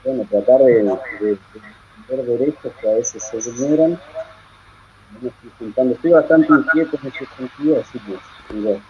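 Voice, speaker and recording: A man from Chile in his thirties, a guest in a live interview, talking in halting, self-correcting phrases. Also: medium pitch, darkish tone, a little hiss or hum. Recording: dull lo-fi audio.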